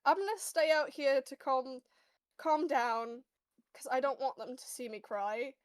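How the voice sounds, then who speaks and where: Woman as a fragile young man, very high voice, halting, slow, trying not to cry, roleplay game. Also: no room sound. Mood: fragile, grieving. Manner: halting, trailing off, repeating words. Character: grieving young man, earnest, fragile, holding back tears.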